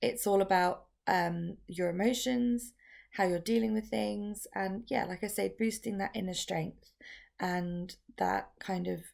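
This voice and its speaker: Woman, casual how-to teacher, slightly croaky with gravelly fry, restart-heavy delivery. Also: mid-range voice, steady tone, a little slow, darkish tone. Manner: chatty, filler-heavy explaining with frequent restarts. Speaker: crystal workshop teacher.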